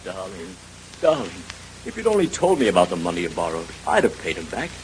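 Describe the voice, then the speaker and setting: An actor playing a devoted, earnest husband in a 1930s radio drama, speaking with urgency. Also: male voice, deep voice, wildly swooping pitch, a little fast, exaggerated voice. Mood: anguished, tender. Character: devoted husband covering up his wife's crime. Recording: noisy vintage radio recording.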